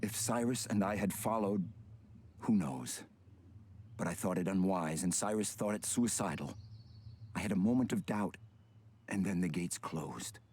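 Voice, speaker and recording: An actor playing a grave male elf king weighed down by guilt, speaking in a solemn, measured, commanding way. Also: sits low, a little mellow, slight background noise, lightly acted. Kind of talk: video game cutscene acting.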